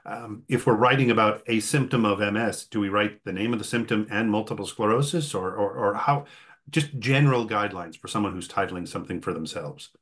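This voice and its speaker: Male interviewer, lowish voice, conversational, rambles through his questions as he thinks aloud. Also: a little fast, fairly bright tone. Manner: rambling, thinking aloud, frequent throat-clearing. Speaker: host and interviewer.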